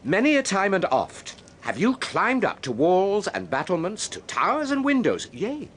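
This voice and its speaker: A man's voice: high voice, huge pitch swings, normal speed, slight background noise, full character voice. An actor playing an indignant male Roman official who scolds a crowd, declaiming and dropping to a whisper. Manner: declamatory, scornful, drops to whispers. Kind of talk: radio drama acting.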